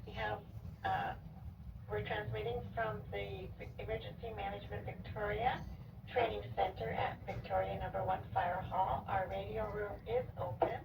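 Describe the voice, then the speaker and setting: A very gravelly-voiced woman reads out a formal radio report. She comes through an echoey transmission with obvious noise on it. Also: mid-range voice, fairly even intonation, normal speed, far from the mic. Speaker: radio station operator.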